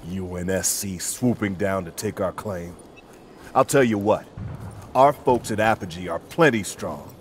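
Injured male colonist, shaken, halting, lowish voice, noise behind. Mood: defiant. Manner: halting, then defiant.

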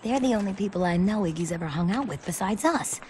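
A woman's voice: medium pitch, lively intonation, fast talker, a little mellow, a little hiss or hum, lightly acted. A woman playing a streetwise, helpful young friend in a video game, casual and wry. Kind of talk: video game cutscene acting.

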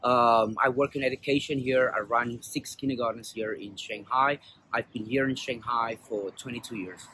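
A man's voice: medium pitch, fairly even intonation, normal speed. A man in his forties from South Africa, talking earnestly in a street interview, with many fillers and restarts, recorded on a clip-on mic outdoors. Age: 40s. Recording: outdoor street with faint traffic noise.

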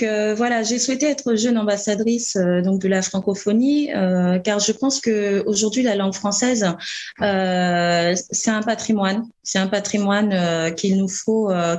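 A woman in her thirties from the Congo, introducing herself over a video call, earnest and halting with many fillers. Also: medium pitch, a little mellow. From Republic of the Congo. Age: 30s. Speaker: youth ambassador. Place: bright room by a window. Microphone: laptop webcam on a video call.

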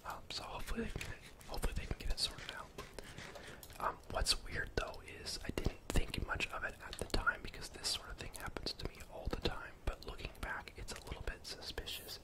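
A young man whispering casual, rambling ASMR commentary over a game, close to the mic in a breathy, unvoiced voice. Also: fairly quick. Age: young adult. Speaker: gaming ASMR host. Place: indoor room at home.

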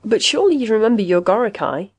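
A woman's voice: mid-range voice, very animated, quick pace, slightly rough, pitched above the performer's own voice. A woman voicing a condescending therapy machine in an audiobook, formal and patiently probing, full of clinical jargon.

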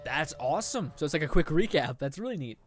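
An eighteen-year-old man giving rambling, casual let's play commentary, his voice a little raspy after eating. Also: fairly high pitch, very animated, fast talker, over loud music. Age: teens. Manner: rambling, chatty, lots of fillers. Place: indoor room.

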